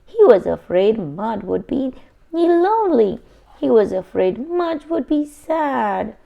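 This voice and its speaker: A woman's voice: fairly high pitch, sing-song, takes it slowly, vocal fry, narrow, tinny audio. A woman reading a children's picture book aloud expressively, adding simple asides for young listeners.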